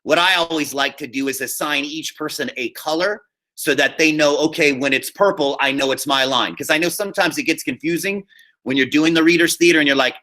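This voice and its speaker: A man speaking as a high school theater teacher, giving informal instructions to students in a live online class and often checking in with them. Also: brisk, sharp tone. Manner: informal, addresses students directly with frequent check-ins. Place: remote video class call.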